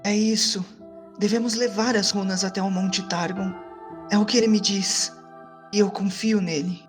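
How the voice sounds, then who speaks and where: A woman playing a calm, trusting fantasy adventurer in an audio drama, speaking in a measured, deliberate way. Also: mid-range voice, faint music behind, natural voice. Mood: calm, resolute. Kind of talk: tabletop RPG audio drama acting.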